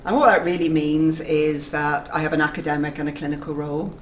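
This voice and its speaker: A woman, chatting in a relaxed, measured way with frequent ums as an interviewee at a dental school. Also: low-pitched, recorded from a distance, slight background noise. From Belfast, Northern Ireland. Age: 40s.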